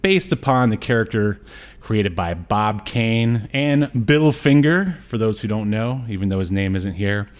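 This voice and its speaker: A man's voice: fairly low pitch, lively intonation, measured pace. Rambling man hosting a casual film chat. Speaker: podcast co-host. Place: indoor room on a video call stream.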